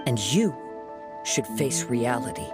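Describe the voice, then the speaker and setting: An actor playing a calm, principled young wandering samurai in a video game, with composed and formal phrasing. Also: male voice, mid-range voice, slow pace, loud music behind the voice. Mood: firm, composed.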